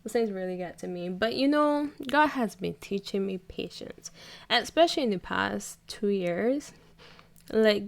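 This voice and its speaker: A woman's voice: mid-range voice, creaky. A young adult woman from Barbados with a Barbadian accent, hosting her own podcast in a chatty, casual way with frequent laughter. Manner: chatty, laughs often, self-correcting. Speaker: podcast host. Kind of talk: casual solo podcast chat.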